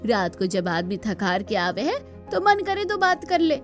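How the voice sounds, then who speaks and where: Woman playing a high-voiced grumbling young daughter-in-law. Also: sing-song, measured pace. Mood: gossipy, complaining. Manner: complaining, gossipy, rustic turns of phrase. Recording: music bed under the voice.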